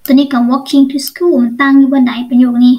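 A woman's voice: sits high, steady tone, normal speed, mellow. A woman with a Lao accent teaching English in an online lesson, explaining step by step and often asking check questions.

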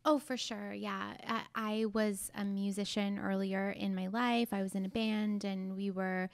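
A woman's voice: medium pitch, little pitch movement, measured pace, gravelly. A woman in her thirties co-hosting a podcast in a small studio, reflective and chatty, with lots of "like" and "you know". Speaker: podcast co-host. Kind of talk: casual podcast conversation. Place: small studio with brick wall and couch. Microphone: studio mic on boom arm.

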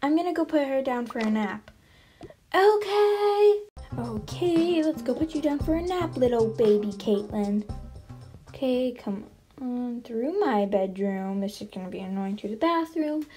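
A woman's voice: on the high side, lively intonation, unhurried, warm tone, faint music behind. A woman improvising voiced characters in a toy role-play story. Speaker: role-play video creator.

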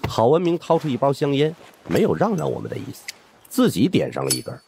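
A man's voice: mid-range voice, wildly swooping pitch, fast, gravelly, a little mellow, slight background noise. A man narrating suspense fiction as an audiobook in a dramatic scripted style. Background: background music.

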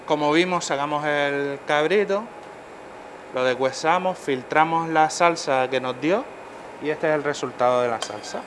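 A man's voice: fairly high pitch, normal speed, a bit bright. A middle-aged man, a chef, walking through a dish step by step in a professional kitchen, often checking in with his listener. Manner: explains steps, checks in with "vale". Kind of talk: cooking demonstration. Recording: noisy kitchen ambience.

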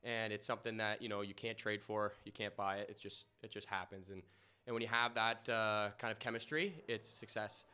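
A young man answering reporters after a game in an indoor press room, humble and halting, with many fillers and restarts. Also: lowish voice, little pitch movement, pushed and bright, clear, resonant tone. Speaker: lacrosse goalie. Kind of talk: post-game press interview. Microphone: podium mic in front.

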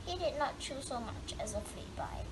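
A woman's voice: high-pitched, expressive, normal speed. A woman reading a children's picture book aloud expressively, drawing out words for dramatic emphasis. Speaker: storybook reader. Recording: clearly noisy home recording.

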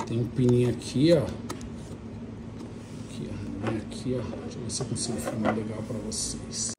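A man's voice: mid-range voice, little pitch movement, moderate pace. A Brazilian man giving an informal hands-on tutorial in short phrases with frequent little check-in tags. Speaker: motovlogger demonstrating a how-to. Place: indoors, tiled kitchen. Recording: noisy phone recording with handling rustle.

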